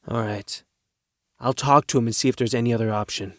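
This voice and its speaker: A man's voice: mid-range voice, dry recording, clean studio sound, close to an everyday voice. A man playing a loyal, defensive working-class teenage boy in an audio drama, speaking earnestly and firmly.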